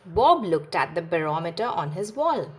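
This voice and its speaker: A woman hosting a children's channel and reading a picture book aloud with an expressive storybook delivery. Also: on the low side, moderate pace, slightly rough.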